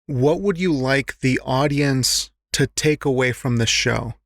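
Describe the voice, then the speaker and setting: A man's voice: mid-range voice, measured pace, a bit bright, no echo, studio quality. A man hosting a casual interview, speaking in an encouraging, affirming way. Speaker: podcast host, interviewer.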